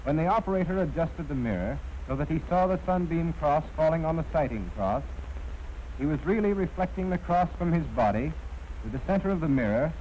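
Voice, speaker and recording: An American man reading scripted instructional narration in a formal, measured, precisely enunciated way. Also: fairly high pitch, expressive, a little mellow. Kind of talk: scripted training film narration. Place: narration recorded for an archival film. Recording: dull, noisy 1940s film soundtrack.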